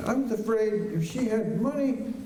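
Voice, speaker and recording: A man playing an anxious, earnest farmer in a radio drama, halting and pleading, with old-fashioned immigrant phrasing. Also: sits high, animated, mellow. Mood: defensive. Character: anxious, earnest farmer courting his former housekeeper. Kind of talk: radio drama acting before a live audience. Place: large school auditorium stage. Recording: echoey auditorium, distant mic, faint noise.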